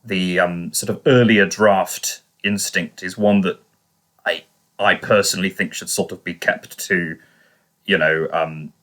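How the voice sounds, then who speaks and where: Man, low voice, bright crisp-edged timbre, podcast co-host, casual discussion, hesitant, measured, tentative. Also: fairly even intonation.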